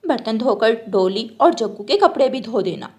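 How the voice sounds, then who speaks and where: A woman's voice: a little high, lively intonation, moderate pace, darkish tone, slightly rough. Woman voicing a haughty, bossy rich crow mistress. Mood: scornful, bossy. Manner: curt, commanding.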